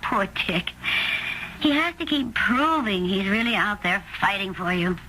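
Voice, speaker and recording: An actress playing a practical, skeptical wife with a dry, teasing manner in an old-time radio drama. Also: female voice, mid-range voice, a little slow, lightly acted. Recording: old radio drama, slight background noise.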